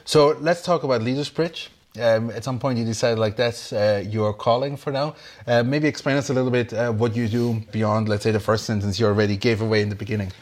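A man hosting a startup interview show, speaking with enthusiasm and some halting restarts. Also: medium pitch, fairly even intonation. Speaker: podcast host.

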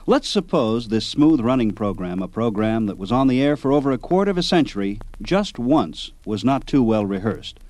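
A man's voice: medium pitch, sing-song, moderate pace, hardly any pauses, a little mellow, dry recording. A man hosting a program in scripted documentary narration. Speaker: program host.

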